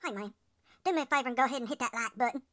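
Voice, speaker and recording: A man putting on a squeaky, fast-talking little male sidekick voice that chirps a quick request. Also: sharp tone. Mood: chirpy.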